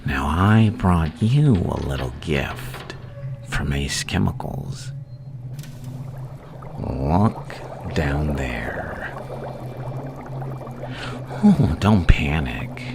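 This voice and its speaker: A man playing a gleefully menacing, seductive madman villain in an ASMR roleplay, teasing and taunting in a gravelly, breathy voice that often sinks to a whisper. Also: a bass voice, expressive, very slow, pauses often, music in the background, full character voice.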